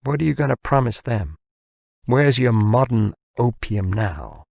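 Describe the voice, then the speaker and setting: A man's voice: medium pitch, lively intonation, unhurried, vocal fry, a little mellow, no room sound, clean studio sound. A man voicing a bitter, ranting American man who taunts and tumbles over his words in an audiobook. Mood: triumphant, bitter. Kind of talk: audiobook character dialogue.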